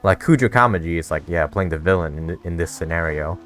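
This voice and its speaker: A man's voice: low voice, brisk, a bit bright. A man giving casual let's-play commentary as he reacts to a game and reads out choices. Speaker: gaming streamer. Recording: game music behind the voice.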